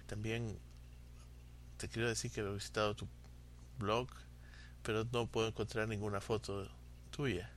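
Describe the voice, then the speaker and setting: A man from coastal Ecuador, speaking with a coastal Ecuadorian accent, leaves a casual recorded message for a podcast host in a halting, unhurried way. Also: lowish voice, flat delivery, slight background noise. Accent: coastal Ecuadorian Spanish. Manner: halting and unhurried, pausing often to find words. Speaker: listener sending a recorded message.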